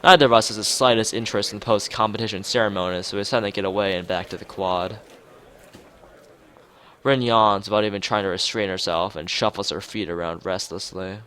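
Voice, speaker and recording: A man's voice: fairly low pitch, fairly even intonation, fairly quick, fairly bright tone. A man reading a visual novel aloud as narration, now and then stumbling and rereading phrases, with music behind him. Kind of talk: dramatized read-aloud narration of a game.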